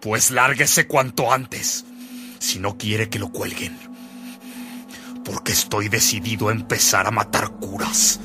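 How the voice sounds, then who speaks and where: An actor playing an imperious, threatening state governor who persecutes priests in a radio drama. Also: male voice, on the low side, fairly even intonation, a little slow, over music, lightly acted. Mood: threatening, curt.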